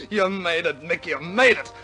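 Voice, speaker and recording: An actor playing an injured, grateful airman in a war radio drama, speaking in a halting, emotional way. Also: high voice, exaggerated voice. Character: injured male airman, grateful, admiring of his pilot.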